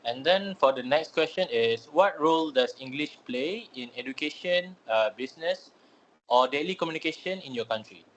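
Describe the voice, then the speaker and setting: A man's voice: fairly high pitch, lively intonation, normal speed, a bit bright, lo-fi sound, a little hiss or hum. A young man from Malaysia, a student asking interview questions hesitantly, with many fillers. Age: young adult.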